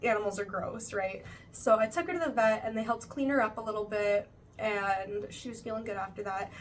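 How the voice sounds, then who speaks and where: A young woman talks through her month in a relaxed, rambling way, leaning on "like" and "you know". Her voice sits a little high and sounds a touch stuffy. The mic is some distance away, with a faint hiss of background noise behind her. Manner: chatty, uses many fillers like "like" and "you know". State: slightly stuffy nose, still recovering. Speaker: vlogger. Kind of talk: casual monthly recap vlog.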